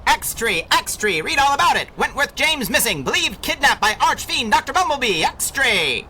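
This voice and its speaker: Excitable paper hawker, loud high calls, swooping pitch, faint music behind, vintage radio. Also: female voice, normal speed, full character voice. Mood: excited hawking. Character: excitable street newspaper hawker calling extra editions. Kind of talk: 1930s-style radio drama acting.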